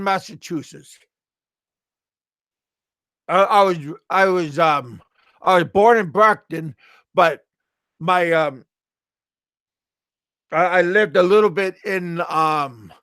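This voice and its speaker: A middle-aged American man chatting casually on a live stream, halting and repeating his words. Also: sits high, bright, forceful tone, no room sound, clean studio sound. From USA. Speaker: livestream host.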